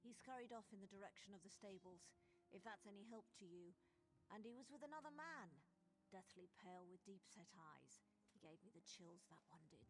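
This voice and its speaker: A woman acting as a chatty market townswoman in a fantasy game. She is prim, gossipy and easily indignant, speaking expressively at a medium pitch. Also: expressive, fairly quick, close to an everyday voice, rough recording. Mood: confiding, uneasy.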